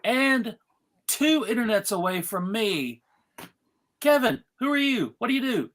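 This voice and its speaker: A man's voice: high-pitched, big pitch swings, a little slow, a bit bright. A man hosting a casual panel on a video call, with a playful, jokey manner. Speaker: panel host and convention track co-director. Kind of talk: casual unscripted panel introductions.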